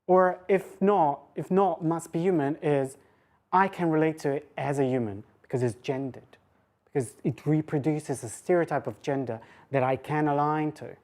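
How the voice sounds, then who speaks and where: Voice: medium pitch, normal speed, darkish tone. A woman lecturer giving an academic public talk, mixing scholarly phrasing with informal asides.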